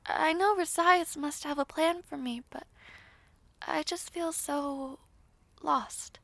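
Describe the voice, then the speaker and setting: High-voiced, shy young fiancée acted by a woman. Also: takes it slowly, vocal fry, a bit bright, no room sound, full character voice. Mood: lost, uncertain. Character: shy, anxious, grateful young fiancée, formerly a maid. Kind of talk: fantasy audio roleplay acting.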